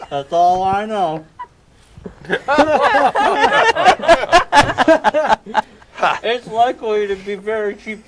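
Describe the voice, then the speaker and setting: An actor playing a joking townsman in an amateur comedy radio play, ad-libbing in a slow, goofy way. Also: high-pitched, a little hiss or hum, low-quality recording. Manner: slow, laughing, goofy ad-libs.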